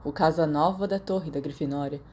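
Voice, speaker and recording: A woman's voice: sits low, fairly quick, mellow, slightly rough. A Brazilian woman voicing an easygoing, self-deprecating teenage schoolboy in an audiobook, dry and understated. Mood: wry.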